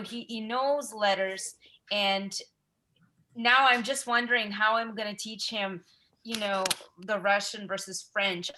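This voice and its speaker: A woman's voice: mid-range voice, pushed and bright. A woman chatting on a video call as a parent in a language group, talking emphatically about her plans. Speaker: participant parent. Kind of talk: casual video call chat. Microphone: laptop video call.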